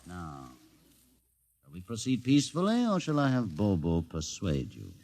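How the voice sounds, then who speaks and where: An actor playing a sardonic, commanding older rebel leader in a 1950s science fiction radio drama, speaking with urbane, deliberate condescension. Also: male voice, fairly low pitch, wildly swooping pitch. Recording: 1950s radio recording, long reverberant hall.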